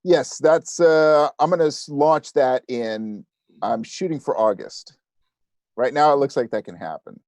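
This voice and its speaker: A man's voice: medium pitch, unhurried, a little mellow, dry recording. A man chatting casually as an interview guest, speaking with enthusiasm and expression. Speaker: interview guest, podcast creator.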